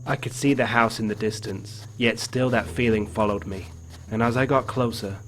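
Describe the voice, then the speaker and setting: A man's voice: fairly low pitch, fairly even intonation, moderate pace, a little hiss or hum, natural voice, poor audio. A man acting as an uneasy, haunted game protagonist, narrating his own mystery story in scripted first person. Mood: uneasy narration. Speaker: narrator and main character of a game.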